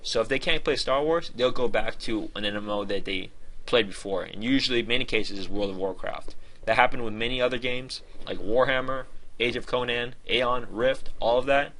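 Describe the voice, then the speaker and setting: A man's voice: medium pitch, moderate pace, a bit bright, rough recording. A man giving an informal game review in a conversational, opinionated and emphatic way.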